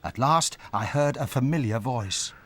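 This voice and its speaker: A man playing the narrator of a dramatized audiobook, telling a boyhood memory with hushed suspense over music. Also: medium pitch, expressive, unhurried, a little hiss or hum, lightly acted. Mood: suspenseful. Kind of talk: scripted storybook narration. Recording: faint music behind.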